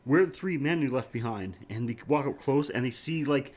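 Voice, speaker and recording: Casually profane man, mid-pitched voice. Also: normal speed, darkish tone. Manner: profane, emphatic. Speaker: podcast co-host. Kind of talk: casual film discussion.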